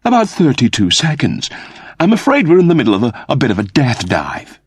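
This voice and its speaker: A courteous male android servant from a sci-fi audiobook, acted out by a man. He is soothing and deferential, cheerfully understating everything in a very lively melody. The voice is slightly husky. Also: mid-range voice, brisk, no echo, clean studio sound, voice pushed up, exaggerated voice.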